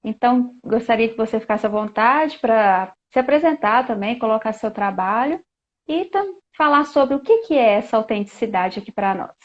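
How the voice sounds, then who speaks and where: Brazilian lady hosting a live, kinda high voice, chatty, muffled lo-fi stream sound. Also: lively intonation, normal speed. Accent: Brazilian Portuguese. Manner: chatty, reads viewer comments aloud, many fillers. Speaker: host of a live chat. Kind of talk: casual live-stream chat. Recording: dull lo-fi live-stream audio.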